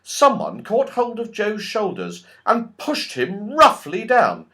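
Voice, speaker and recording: A man reading a children's story as a narrator, with expressive, scripted storytelling. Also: high voice, slow. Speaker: audiobook reader.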